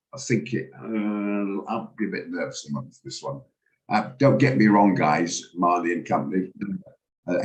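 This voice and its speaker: A man, hoarse from a cold, hosting a live trading room and talking through charts with hesitant, stammering restarts. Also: deep voice, rough recording. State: hoarse, recovering from flu. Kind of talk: live market commentary on a video call.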